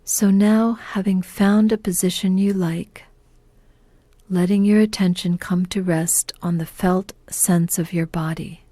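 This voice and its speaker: A woman guides a meditation at a very slow, unhurried pace. Her voice is mellow, soft and breathy, often close to a whisper. She sounds calm and gentle, recorded close and dry. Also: on the low side, dry recording. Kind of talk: guided meditation, scripted narration.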